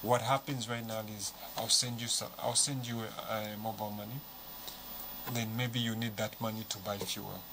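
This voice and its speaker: A man with a Zambian accent speaking as a panel guest, measured and explanatory, walking through examples. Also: fairly low pitch, level tone. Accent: Zambian English. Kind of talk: studio panel discussion. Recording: noisy studio recording.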